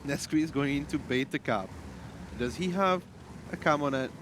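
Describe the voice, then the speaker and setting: Brisk male play-by-play caster. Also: mid-range voice, background noise. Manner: quick, teasing banter and brisk play calls. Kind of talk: live esports commentary.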